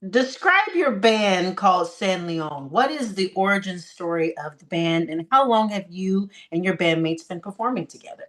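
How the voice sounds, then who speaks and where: A woman's voice: on the low side, lively intonation, moderate pace, few pauses, fairly bright tone. An American woman hosting a casual interview, speaking in an informal, encouraging way. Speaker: interview host. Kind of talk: casual podcast chat.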